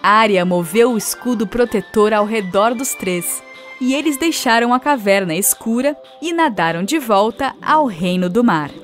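A woman's voice: on the high side, animated, normal speed, faint music behind. A woman reading scripted narration for a children's cartoon story.

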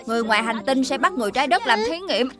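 A woman's voice: sits high, animated, racing. A woman voicing an opinionated female character in a cartoon dub. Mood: ominous, dismissive. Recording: faint music behind.

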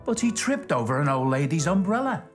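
Very animated male children's storyteller. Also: fairly high pitch, brisk, faint music behind. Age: middle-aged. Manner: animated, expressive, clearly enunciated. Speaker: storyteller and presenter playing a station master.

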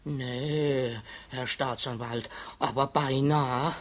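Prison warder, male, actor, 1950s radio drama: slow, hesitant, shaken, deferential. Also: mid-range voice, steady tone, natural voice. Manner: halting, deferential.

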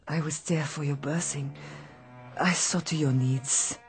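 An actress playing a dutiful, protective robot housemaid with a measured, formal manner in a radio drama. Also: female voice, low-pitched, fairly even intonation, soft background music. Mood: grave, urging.

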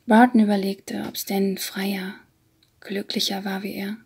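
A woman reading literary narration in a breathy voice that often slips toward a whisper. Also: medium pitch, flat delivery, a little slow, a little mellow. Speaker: audiobook narrator.

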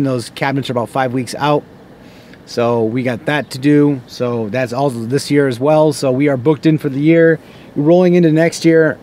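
A man's voice: on the high side, darkish tone, a little hiss or hum. A man in his thirties with an American accent, talking casually to camera in a rambling, stop-start way, as a contractor vlogging from a car. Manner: rambling, with frequent false starts. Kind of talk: casual unscripted vlog talk. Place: inside a parked car.

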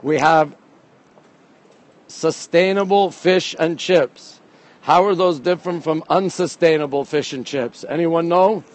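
A middle-aged man hosting a casual walking live stream, chatty and excitable, often repeating himself as he reacts to viewers. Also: fairly high pitch, unhurried. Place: outdoors on busy city streets, walking. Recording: outdoor street and traffic noise.

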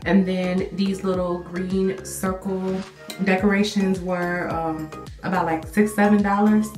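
Excited lady showing off her tiny bathroom, echoey phone audio, little bit of music. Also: mid-range voice, unhurried. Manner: enthusiastic, chatty, with some ums. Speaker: cleaning vlogger. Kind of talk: casual walkthrough of a finished bathroom. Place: small indoor bathroom.

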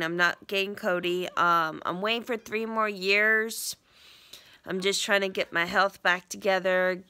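A woman's voice: lowish voice, sharp tone, clean tone. A young woman with a stuffy, congested voice giving a rambling casual vlog update, recorded on a phone at arm's length in a small living room. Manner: rambling, many ums and restarts. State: stuffy, congested from a sinus infection. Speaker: vlogger. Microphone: phone front camera at arm's length.

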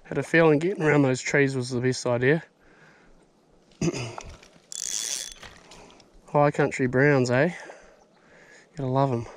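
A young man with a New Zealand accent giving excited, slangy running commentary while fishing outdoors at a pond, recorded on an action camera. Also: medium pitch, measured pace, pauses often, slight background noise. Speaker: fishing vlogger. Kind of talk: casual outdoor vlog commentary. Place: outdoors by a high country pond. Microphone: action camera mounted on the body.

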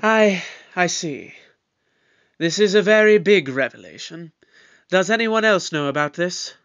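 A man playing a shrewd, authoritative detective in an audio drama, with a pompous, deliberate, probing delivery. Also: high-pitched, a bit bright, highs cut off. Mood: grave, probing. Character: shrewd, authoritative male time-travelling detective, pompous and suspicious.

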